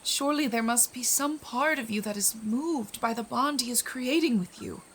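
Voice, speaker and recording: A woman playing a grave, wise guardian of a magical island in an audio drama, measured and probing. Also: on the high side, a little hiss or hum, natural voice. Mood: solemn, challenging.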